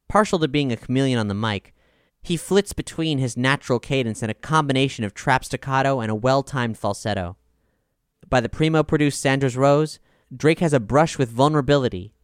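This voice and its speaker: Man, scripted review narration, smooth clean voice, very dry close recording, measured, articulate. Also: mid-range voice, no room sound. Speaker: album review reader and podcast host.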